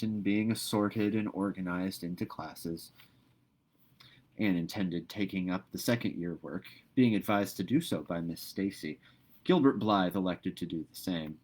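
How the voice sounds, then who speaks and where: A man with a low voice narrates a classic novel. He reads from the text in an expressive storybook style, as an audiobook reader does. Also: low-quality recording.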